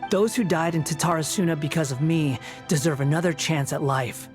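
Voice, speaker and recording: A brooding young male game antihero, played by a woman, pitched low. He is proud and sardonic, speaking with cool, measured deliberation. Soft music plays behind him. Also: natural voice. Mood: resigned, resolute. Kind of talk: dramatic video game voice acting.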